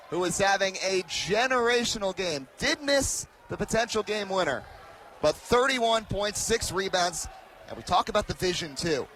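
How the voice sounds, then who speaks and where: A man in his early twenties doing live radio basketball play-by-play, with an emphatic, excited delivery that rises to shouts. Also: sits high, slight background noise. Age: early 20s. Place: courtside in a large arena.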